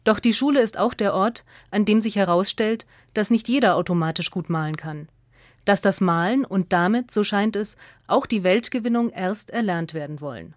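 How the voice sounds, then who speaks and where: A woman from narrates a scripted radio essay in a measured, clearly articulated way. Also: medium pitch, no echo, clean studio sound.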